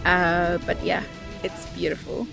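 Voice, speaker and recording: A woman's voice: fairly low pitch, completely flat delivery, a little slow, vocal fry, rough recording. Enthusiastic woman vlogging, music behind her. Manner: enthusiastic, admiring. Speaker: travel vlogger. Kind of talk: casual chatty vlog narration to viewers. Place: outdoors in a village.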